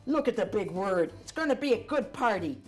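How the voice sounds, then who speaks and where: An older woman reads a children's storybook aloud in an animated, chatty way, adding asides for young listeners. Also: medium pitch, fairly quick, soft background music. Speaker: volunteer storyteller.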